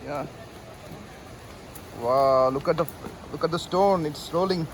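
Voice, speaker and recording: A man, chatting excitedly as he hosts a trekking vlog outdoors. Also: a little high, measured pace, slight background noise, low-quality recording. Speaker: vlogger host. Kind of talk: casual trekking vlog chat. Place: outdoors on a forest trail.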